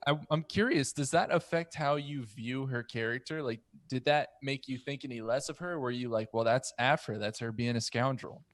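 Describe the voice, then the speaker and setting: A man's voice: mid-range voice, normal speed, hardly any pauses, no room sound. An American man moderating a casual roundtable discussion, posing questions to fellow panelists. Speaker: podcast moderator. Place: home studio, remote video call. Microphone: close studio mic.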